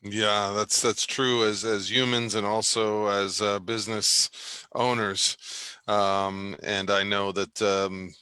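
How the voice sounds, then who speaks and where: A man with a fairly low but bright, forceful voice hosts a live business chat over a video call. He talks in a halting way, full of ums and restarts. The sound is dry and close. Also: little pitch movement, smooth, no echo. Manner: halting, with many fillers and restarts. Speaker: host, clinical psychologist. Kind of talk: live online interview. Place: home in Los Angeles, video call.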